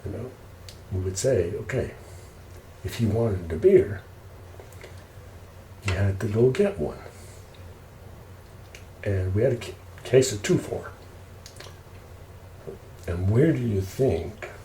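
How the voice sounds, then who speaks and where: A man telling casual ASMR stories in an unhurried, rambling way. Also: deep voice, warm tone. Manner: unhurried, rambling family reminiscing. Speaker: ASMR storyteller. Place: indoors, roomy space while preparing food. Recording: roomy echo, faint background noise.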